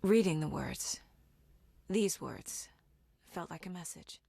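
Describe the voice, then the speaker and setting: A very dry, close recording of a sharp federal investigator in a video game. She is measured and thoughtful, working through things in short, clipped phrases with steady determination. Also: fairly low pitch, creaky, dry recording, full character voice. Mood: contemplative. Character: determined female FBI agent and mother, sharp, inquisitive investigator.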